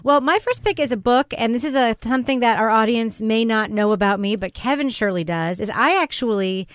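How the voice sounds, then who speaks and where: Female podcast host, smooth clear voice, effusive and conversational, dry studio sound. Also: on the high side, a little fast, no echo. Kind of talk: casual panel chat.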